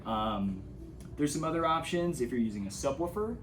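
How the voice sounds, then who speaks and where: A man's voice: medium pitch, lively intonation, normal speed, noisy background. A man giving a casual, hands-on gear tutorial, explaining things step by step as an instructor.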